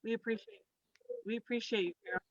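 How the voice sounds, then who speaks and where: A woman doing a conversational stand-up comedy set in a halting, scattered, giggly way. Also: a little high, no room sound, highs cut off. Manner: halting and scattered, giggles through own remarks.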